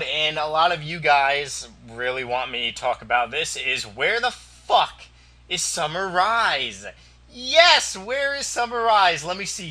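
A young man ranting casually about an online game on his own vlog, loud and profane, with a voice that keeps cracking, recorded on a built-in mic in a small room. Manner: loud, profane, laughing, jumpy pitch. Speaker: gaming vlogger.

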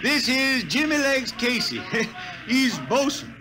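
An actor in a 1950s radio drama playing a cocky, hard-drinking old space hand with a folksy drawl. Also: male voice, very high voice, very animated, takes it slowly, heavily acted. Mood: teasing. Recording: old radio recording with music behind.

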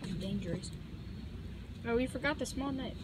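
A man's voice: extremely high pitch, moderate pace. A teenage boy filming his friend outdoors in the woods, blurting jumpy remarks from behind the camera. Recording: loud noise, off-mic.

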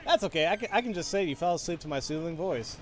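A young man rambles through a game stream, restarting his sentences and bluntly airing opinions. His pitch swings widely as he talks. Game music plays underneath. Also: lively intonation, quick pace. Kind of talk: casual game commentary with chat replies. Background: game music and sound effects.

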